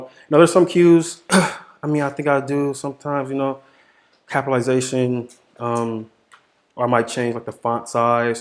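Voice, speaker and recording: A man speaking informally as a poet answering questions, thinking aloud haltingly and repeating phrases for emphasis. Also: mid-range voice.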